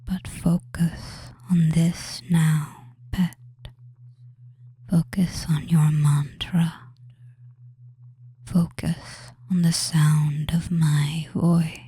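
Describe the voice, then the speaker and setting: A man voicing a tender, teasing, commanding femboy witch in a breathy whisper, slowly giving soothing hypnotic commands in an audio roleplay. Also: fairly high pitch, flat delivery, mellow, exaggerated voice. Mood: soothing, coaxing. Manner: slow, soothing, drawn-out phrases with commands.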